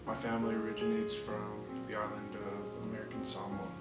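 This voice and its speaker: A man from San Diego answering interview questions in a measured, plainspoken way. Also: lowish voice, completely flat delivery. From San Diego, California. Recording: echoey room, distant mic, music bed.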